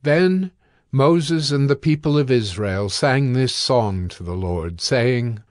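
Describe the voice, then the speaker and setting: A man narrating scripture from a script in a solemn, measured manner. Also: mid-range voice, expressive, no room sound, studio quality.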